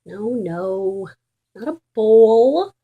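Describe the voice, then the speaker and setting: A woman voicing an eager, playful male pet dog in a children's storybook, quick to get exasperated. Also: mid-range voice, lively intonation, breathy edge, narrow, tinny audio, exaggerated voice. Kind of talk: animated children's storybook reading.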